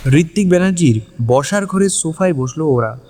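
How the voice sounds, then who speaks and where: Animated male story narrator with long reverb. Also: fairly high pitch, a little fast, a little hiss or hum. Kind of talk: dramatic scripted story narration. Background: music.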